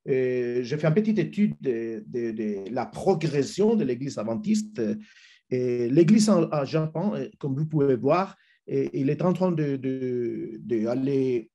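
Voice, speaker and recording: A man with a Spanish accent, Spanish being his first language, giving a church webinar presentation over a video call, halting with many restarts. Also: mid-range voice, expressive, warm tone, dull audio. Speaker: youth ministry speaker.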